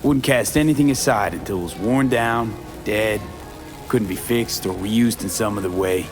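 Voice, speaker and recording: Man playing a low-voiced, blunt mercenary. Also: moderate pace, soft background music, close to an everyday voice. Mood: insistent, accusing. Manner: blunt, terse, controlled. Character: cool, determined male mercenary, former nomad. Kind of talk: video game character acting.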